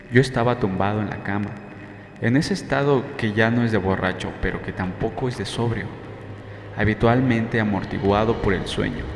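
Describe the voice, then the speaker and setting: A man reading a novel as an audiobook narrator, in measured, dramatic first-person storytelling. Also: lowish voice, gravelly, slight background noise. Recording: roomy long reverb, faint music. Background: faint music.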